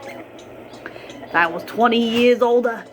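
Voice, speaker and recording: Woman playing a rambling, defiant chatty grandma, noisy line. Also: a little high. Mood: gushing, rambling. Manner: rambling, argumentative, drawn-out emphatic words. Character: chatty, defiant, easily distracted grandmother, swooning over TV men. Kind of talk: prank phone call.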